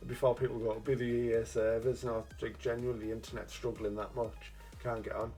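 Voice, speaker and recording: A man's voice: medium pitch, little pitch movement, fast, dark tone, faint music behind. A man hosting a casual gaming review chat, cheeky and jokey, often laughing at his own innuendo. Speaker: gaming channel host.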